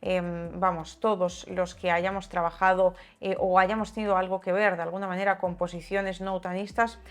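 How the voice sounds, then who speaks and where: A woman's voice: on the low side, little pitch movement, moderate pace. A woman hosting a channel, giving opinionated, sarcastic political commentary in a conversational way, sometimes reading aloud. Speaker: video channel host and commentator. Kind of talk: political commentary to viewers, part read aloud.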